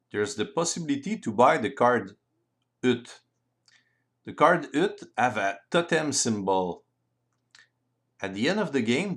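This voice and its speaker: Male tutorial narrator, French accent, slow and careful non-native delivery with a lively, swooping pitch, presenting tabletop game rules. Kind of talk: explanatory tutorial voice-over.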